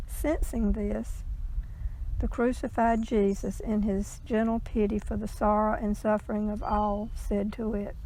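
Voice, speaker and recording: A woman reading a legend aloud in a measured storytelling style, with the odd stumble. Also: on the high side, creaky, mellow, a little hiss or hum, poor audio.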